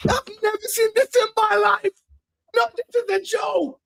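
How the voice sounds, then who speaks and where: A man with a Texan American accent talks in a high, excitable voice, loudly exclaiming in disbelief during a casual football chat. The sound is dry and close, with no room echo. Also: moderate pace, creaky. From Texas, USA. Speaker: podcast co-host.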